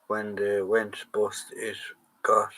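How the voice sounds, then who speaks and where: A man's voice: fairly low pitch, monotone, takes it slowly, sharp tone, husky, low-quality recording. An Irish man hosting a live chat, casually reading out and answering viewer comments, trailing off and restarting.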